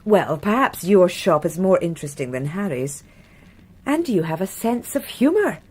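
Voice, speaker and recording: Radio drama casting: genteel British society wife, lowish husky voice, charming, wistful, confiding. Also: female voice, big pitch swings, normal speed. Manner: soft, hesitant, confiding. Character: wistful upper-class titled wife, socially charming.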